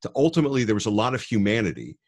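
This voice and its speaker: A man's voice: fairly low pitch, big pitch swings, rapid-fire. A man co-hosting a podcast, talking about books in a thoughtful, explanatory, conversational way. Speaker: podcast co-host. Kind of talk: conversational podcast book talk.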